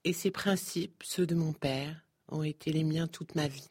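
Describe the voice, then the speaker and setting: A woman giving a steady voice-over translation of a guest's answers. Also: sits low, unhurried, slightly rough. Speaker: interpreter.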